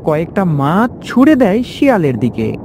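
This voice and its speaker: A woman's voice: lowish voice, sing-song, moderate pace, background noise. A woman narrating a scripted children's story as the storyteller.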